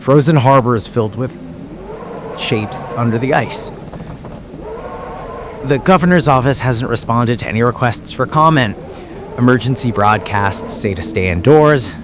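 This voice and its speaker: A man playing a weary small-town radio announcer giving grave, halting emergency broadcasts in a zombie apocalypse drama. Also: medium pitch, close to an everyday voice. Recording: noisy radio broadcast ambience.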